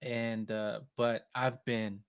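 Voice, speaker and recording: A man guesting on a live chat, talking quickly and with energy and slipping in Spanish phrases. He sounds like he is on a video call. The room sound is dry, with almost no echo. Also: mid-range voice, clean tone. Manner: animated, fast-talking, slips into Spanish phrases. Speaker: percussionist guest.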